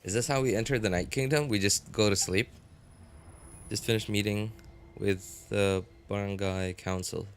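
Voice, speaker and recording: A man's voice: on the low side, fairly even intonation, normal speed, clear, resonant tone. A man doing casual gameplay commentary on a livestream, musing aloud and chatting informally to viewers. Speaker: game livestreamer. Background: game music.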